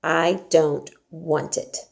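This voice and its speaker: Storybook child, read by a woman: spoiled little girl, cold, curt, indifferent, with a slightly creaky voice. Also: low voice, steady tone, drawn-out words, a little mellow, full character voice. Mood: cold refusal. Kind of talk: storybook dialogue read aloud.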